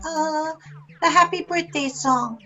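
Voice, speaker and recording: A woman's voice: high voice, slow pace, pushed and bright, dull audio, faint music behind, heavily acted. A woman playing an earnest eighteen-year-old alien girl intern who protests literally, in a comic sci-fi audio drama. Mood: timid, polite.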